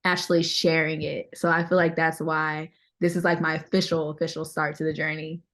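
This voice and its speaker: Candid woman with a deeper voice. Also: flat delivery, brisk, a bit bright. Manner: candid, conversational, emphatic repetition. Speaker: interview guest, singer-songwriter. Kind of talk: casual chat.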